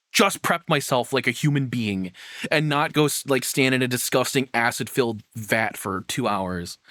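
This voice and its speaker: A man's voice: medium pitch, fairly bright tone, no echo, studio quality. A young man giving casual, opinionated reaction commentary, exclaiming and swearing as he goes. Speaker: reaction video host.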